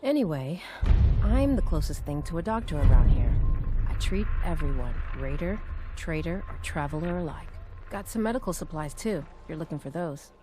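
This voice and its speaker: An actress playing a nervous, deferential doctor among raiders in a video game, speaking hesitantly. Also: female voice, deep voice, expressive, noisy background. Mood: matter-of-fact. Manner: hesitant, halting. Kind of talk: video game character dialogue.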